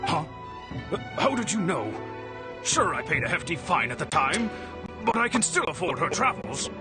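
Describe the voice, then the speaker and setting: An actor playing a proud, grumpy seventy-year-old former merchant with a creaky, grumbling delivery in a video game. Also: male voice, a little high, big pitch swings, moderate pace. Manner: grumbling, curmudgeonly. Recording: game music under the voice.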